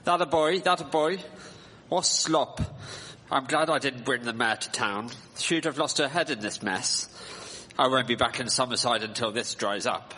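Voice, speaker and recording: A man playing a folksy wagon driver with a husky voice in a live radio drama. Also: mid-range voice, moderate pace, bright, forceful tone. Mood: grumbling. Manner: folksy, coaxing. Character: wagon driver urging his horses through mud. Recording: echoey hall, live stage recording.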